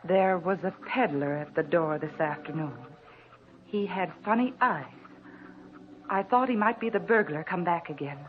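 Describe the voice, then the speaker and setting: Actress playing a frail, nervous American housewife on old radio. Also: female voice, fairly low pitch, normal speed, faint music behind, lightly acted. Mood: quietly narrating. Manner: hesitant, anxious, meek. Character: frail, nervous, forgetful housewife recovering from illness. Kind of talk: old-time radio drama acting. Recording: dull, noisy old radio recording.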